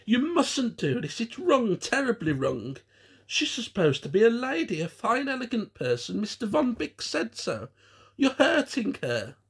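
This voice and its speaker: A man's voice: very high-pitched, huge pitch swings, measured pace, creaky, breathy edge, pitched above the performer's own voice, full character voice. A man voicing a prim, easily shocked woman in an audiobook, exclaiming and imploring. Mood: distressed pleading.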